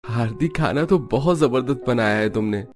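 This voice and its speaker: A man's voice: medium pitch, normal speed, close to an everyday voice. A man playing an easygoing, mildly concerned young husband in a cartoon family story, with music behind. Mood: casual.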